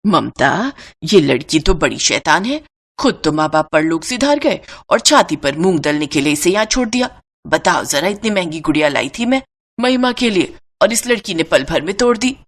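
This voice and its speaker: A woman voicing a sharp, accusing older mother in a story, full of indignation. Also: on the low side, very animated, moderate pace. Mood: indignant.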